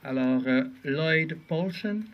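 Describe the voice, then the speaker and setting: A man with an English accent speaking French, slowly and solemnly reading a list of names of the fallen outdoors. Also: a little high, highs cut off. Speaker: name reader at a memorial. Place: outdoors at a memorial.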